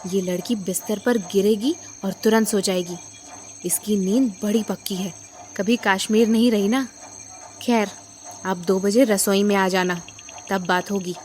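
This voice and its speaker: A woman playing a guarded, quietly authoritative elder sister in an audio drama, speaking in a measured, stern way. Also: medium pitch, fairly even intonation, natural voice. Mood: secretive.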